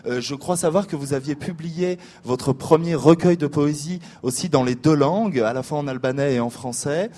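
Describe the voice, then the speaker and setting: Higher-voiced male panel moderator. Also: warm tone. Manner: measured, with frequent hesitations. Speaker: host. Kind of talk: moderating a literary discussion.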